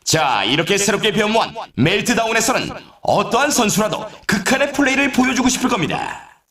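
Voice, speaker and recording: An actor voicing an excitable male snowboard race announcer in a video game, with a polished, showy scripted delivery. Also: high-pitched, a little fast, reverberant, heavily acted. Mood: showy, hyped. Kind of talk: scripted game commentary, course introductions.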